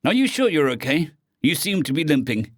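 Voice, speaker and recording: A man's voice: a little high, very animated, a little fast, breathy edge, no room sound, studio quality, voice pushed up. A man voicing a concerned, earnest alien rescue medic in a sci-fi story, solicitous and questioning.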